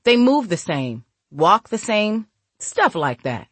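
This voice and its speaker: A woman's voice: medium pitch, big pitch swings, takes it slowly, fairly bright tone, slightly rough, no room sound, voice pushed up, heavily acted. Woman playing a stern, streetwise old lady. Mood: sly. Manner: stern, menacing, slangy.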